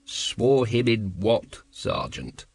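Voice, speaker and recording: A man's voice: lowish voice, big pitch swings, takes it slowly, creaky, husky, no room sound, heavily acted. A man voicing an exasperated, sardonic middle-aged captain, curt and wearily sarcastic. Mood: incredulous. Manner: curt, snapping, weary sarcasm.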